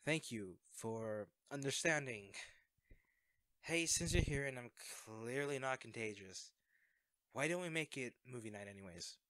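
A man plays a secret superhero in a one-sided audio drama, awkward and self-effacing. His mid-pitched voice stammers and hedges as he covers up for himself with a close friend. The sound is close and very dry. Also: lively intonation, measured pace, pushed and bright, dry recording. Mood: sheepish, weary. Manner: hesitant, stammering, evasive.

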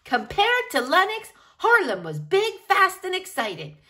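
A woman reading a picture book aloud to children in an expressive, animated way, speaking directly to her young listeners. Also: very high voice, measured pace.

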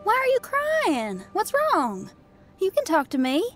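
A woman's voice: extremely high pitch, wildly swooping pitch, measured pace, over music, full character voice. An actress playing a spirited young woman musician in a video game, colloquial, self-assured and encouraging. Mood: concerned, kind.

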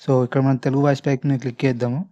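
A man's voice: mid-range voice, level tone, very fast, dark tone, lo-fi sound. A man with a Telangana Telugu accent giving casual, chatty gameplay commentary to his viewers, speaking informally and directly. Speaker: gaming video host. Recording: faint background noise, clicking sounds.

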